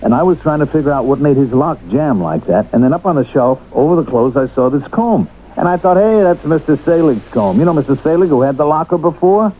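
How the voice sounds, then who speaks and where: A man's voice: a little high, lively intonation, a little fast, hardly any pauses, dark tone, lightly acted. An actor in a radio drama playing a middle-aged ex-convict handyman, anxious, humble and earnestly defensive. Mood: protesting, anxious. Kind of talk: radio drama acting with first-person narration. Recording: muffled old radio broadcast, faint noise.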